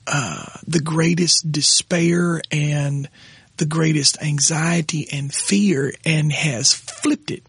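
A middle-aged pastor, hoarse and raspy tonight, talking haltingly with his wife on their podcast. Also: a little high, steady tone, fairly bright tone, dry recording. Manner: halting, restarts often when moved. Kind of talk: casual conversation with his wife.

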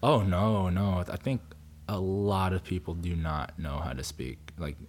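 Animated man telling stories. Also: sits low, unhurried. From United States. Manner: animated storyteller, many fillers, acts out quoted lines. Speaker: podcast guest, actor. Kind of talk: casual podcast chat.

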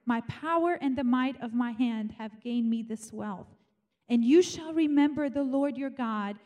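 Fervent high-voiced woman preacher from Romania. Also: warm tone. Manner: fervent, calls out "Amen?" to the congregation. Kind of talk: evening sermon with scripture reading.

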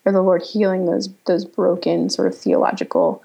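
A woman in her thirties from Pennsylvania, a writer guest who talks reflectively with frequent pauses and fillers. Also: lowish voice, flat delivery, gravelly, dark tone, husky, rough recording. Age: 30s. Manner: reflective, halting, many um fillers. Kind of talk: casual interview talk.

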